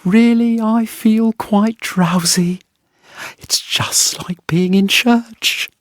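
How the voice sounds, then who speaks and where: Man putting on a gushing, high-pitched miller's wife. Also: steady tone, extremely slow, dry recording, studio quality. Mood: drowsily admiring. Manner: gushing, admiring. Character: miller's admiring, flattering wife, a comfortable woman.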